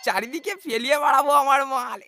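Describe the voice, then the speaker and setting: A woman's voice: sits high, fast talker, heavy background noise, lightly acted. A woman voicing a brazen village troublemaker in cartoon dialogue. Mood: taunting. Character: brazen village troublemaker, taunting then cowering.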